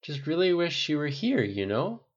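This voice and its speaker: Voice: medium pitch, very animated, normal speed, lo-fi sound, voice pushed up. An audiobook narrator voicing a blunt, loyal military man with an emphatic, indignant, sweary way of talking. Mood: earnest, tipsy.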